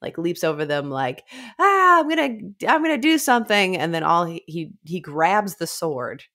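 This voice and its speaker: A woman's voice: on the low side, very animated, normal speed, bright, forceful tone. A woman in her thirties chatting informally as a podcast co-host, talking through a story and voicing its characters, on a close microphone. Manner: informal, acting out characters' lines. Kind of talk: casual discussion of a novel. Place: small indoor room, remote video call. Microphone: close studio microphone.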